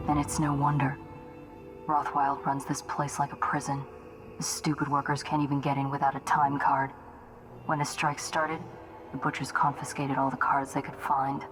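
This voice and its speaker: A low-voiced, cool young female assassin, played by an actress with a flat, even delivery. She is dry and sardonic, like a game's second-in-command, with music behind her. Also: close to an everyday voice. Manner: dry, wry, understated. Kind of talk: scripted video game dialogue. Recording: loud music under the voice.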